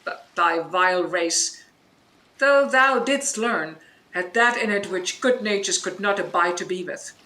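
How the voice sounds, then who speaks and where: Woman acting a slow, imperious sorceress. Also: medium pitch, lively intonation, bright, forceful tone, poor audio. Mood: scornful. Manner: imperious, measured, declaiming. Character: commanding, stern sorceress mother, mistress of spirits. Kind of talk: Shakespearean audio drama acting.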